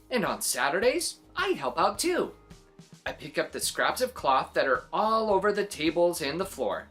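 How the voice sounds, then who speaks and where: Woman reading a kids story, deep for a lady, swoops up and down a lot, bit of music behind. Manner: exclaiming, expressive, adds little reactions. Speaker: children's story reader and host. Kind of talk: animated read-aloud storytelling for young children.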